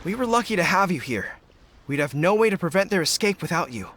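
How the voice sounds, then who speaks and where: An actor playing an earnest, dutiful young army general in a video game, speaking in a formal, respectful manner. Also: male voice, high-pitched, animated, fast talker, background noise. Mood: earnest, proud. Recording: game music underneath.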